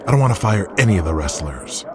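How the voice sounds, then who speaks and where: An actor playing a hard-nosed, commanding wrestling promotion boss in an audio drama. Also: male voice, sits low, sing-song, fast talker, crowd noise. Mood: firm, businesslike. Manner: blunt, commanding.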